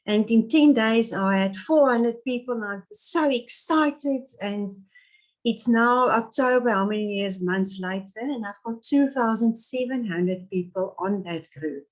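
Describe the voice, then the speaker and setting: A woman's voice: on the high side, measured pace, low-quality recording. A woman in her fifties with an Afrikaans accent, a native Afrikaans speaker, talking earnestly as an interview guest, with many restarts and fillers. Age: 50s. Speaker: guest, therapist. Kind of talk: casual interview chat.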